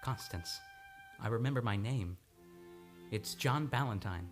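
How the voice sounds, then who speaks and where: An actor playing an earnest, tender man haunted by returning memories, with halting, dramatic delivery in an old-time radio play. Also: on the low side, natural voice. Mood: earnest recollection. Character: haunted male amnesia patient recovering his memory, tender and earnest. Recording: studio radio play with music behind.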